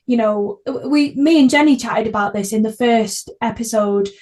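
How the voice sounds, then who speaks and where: A woman's voice: a little high, normal speed, a little mellow. A woman hosting a podcast, chatting casually in an enthusiastic, effusive way. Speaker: podcast host.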